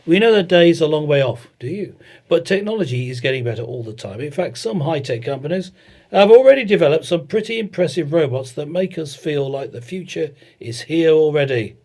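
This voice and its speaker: A man's voice: fairly high pitch, animated, a little fast, a little mellow. A man co-hosting a casual chat show, joking and reading bits of articles aloud. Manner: jokey, chatty, reads aloud. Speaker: podcast co-host.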